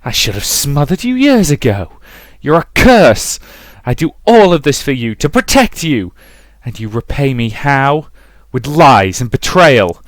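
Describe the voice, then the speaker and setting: Man playing a melodramatic, domineering mother, pitched up. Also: big pitch swings, a little slow, hardly any pauses, dry recording. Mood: furious, menacing. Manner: theatrical, emphatic, melodramatic. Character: abusive mother, volatile, domineering, cloying when remorseful. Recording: music behind the voice.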